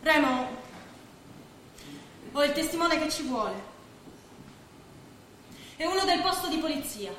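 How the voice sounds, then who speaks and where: A woman's voice: high voice, expressive, unhurried, pauses often, a bit bright. An actress playing an anxious woman in love, imploring and emotional in a theatrical stage drama. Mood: urgent, hushed. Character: anxious, pleading lover caught between two men. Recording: echoey stage recording with faint noise.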